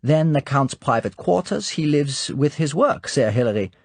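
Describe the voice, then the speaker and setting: A man voicing a brisk, formal female assistant in an audiobook, with a clipped, announcing manner. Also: a little high, animated, dry recording, pitched above the performer's own voice.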